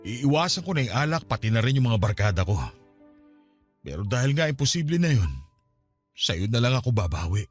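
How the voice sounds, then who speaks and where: Man acting as emotional older father, widely swinging pitch. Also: very animated, fairly quick, long pauses, faint music behind, lightly acted. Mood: remorseful pleading. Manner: pleading, emotional. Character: remorseful older Filipino father, a drinker pleading with his child. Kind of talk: radio drama acting.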